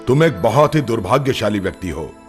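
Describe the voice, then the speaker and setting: A man playing an imperious, declamatory Mughal emperor in a children's animated story. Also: fairly low pitch, a little fast, soft background music. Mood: stern, regal. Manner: regal, declamatory. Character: imperious Mughal emperor, easily alarmed, commanding.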